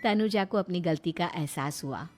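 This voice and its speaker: A woman's voice: on the low side, normal speed, soft background music. A woman storyteller reading a scripted moral tale as narration.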